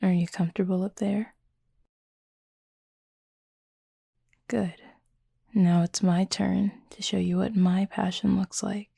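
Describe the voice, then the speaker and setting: A woman plays a confident, teasing werewolf woman in an intimate audio roleplay. Her voice is low and dark, breathy and hushed, with a gentle manner. She keeps it very even and close, in a dry recording. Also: normal speed, no echo. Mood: flirty, teasing. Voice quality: breathy, husky, fry-laden murmur. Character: flirty, caring female werewolf, confident and teasing.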